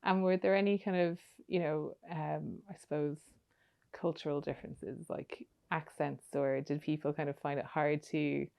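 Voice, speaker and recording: A woman's voice: deep voice, fairly even intonation, moderate pace, creaky, darkish tone. A young woman hosting a podcast on a studio mic, chatty, asking long rambling questions full of fillers. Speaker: podcast host. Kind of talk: casual interview chat.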